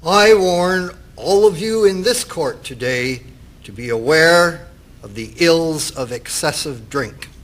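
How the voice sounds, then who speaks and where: Male actor as a stern 19th-century judge, very measured pace, slightly high voice, grave, formal, admonishing, radio play. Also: lively intonation, fairly bright tone. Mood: solemn, condemning. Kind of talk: radio drama acting.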